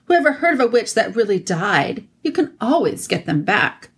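A woman voicing a sly old hag with a shrill whine, fawning and wheedling with false sweetness. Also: very animated, moderate pace, slightly rough. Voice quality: shrill, whining.

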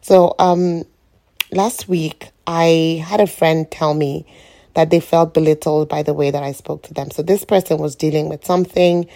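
Middle-aged woman, lowish voice, croaky with heavy vocal fry, reflective, informal podcast monologue. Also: steady tone, moderate pace, warm tone. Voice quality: croaky morning voice. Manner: rambling, reflective. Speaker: podcast host.

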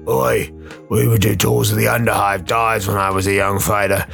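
A man acts out a towering, scarred former pit fighter in a sci-fi radio play. The voice is low and heavily gravelled, gruff yet loyal, with rough colloquial slang. Faint music plays underneath. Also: level tone, normal speed, a bit bright. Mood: gruff, easygoing. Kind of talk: radio play character acting.

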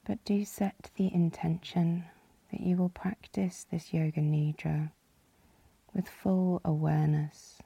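A woman leading a guided yoga nidra relaxation as the guide, calm and soothing, with a soft, breathy, husky voice. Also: lowish voice, takes it slowly, no echo. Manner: calm, soothing, gently instructing.

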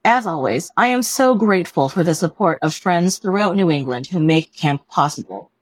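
A woman reading a written report aloud as scripted narration, in a measured, formal manner. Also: lowish voice, expressive, no echo. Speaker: reader of a written staff report.

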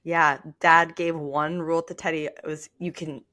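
Low-pitched woman chatting candidly. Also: little pitch movement, moderate pace, sharp tone. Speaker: podcast co-host. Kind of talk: casual personal chat.

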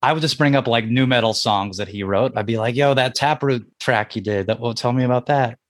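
A man's voice: mid-range voice, a little fast, no room sound, studio quality. A man hosting a casual interview, laid-back and laughing easily as he asks long questions. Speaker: podcast host.

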